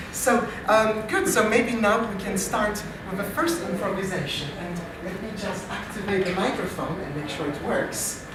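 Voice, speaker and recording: A man with a French accent, an AI researcher hosting a live improv comedy show, talking in a dry, self-deprecating way with halting restarts. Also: high voice, expressive, a crowd in the background. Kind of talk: live comedy stage talk. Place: live comedy meetup venue. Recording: distant, very echoey live venue.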